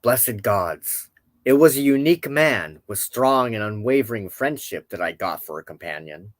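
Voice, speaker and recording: A man's voice: lowish voice, lively intonation, moderate pace, dry recording, lightly acted. A man voicing a gracious otherworld king in a storybook tale, formal and courtly. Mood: puzzled, wondering. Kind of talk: storybook character dialogue in narration.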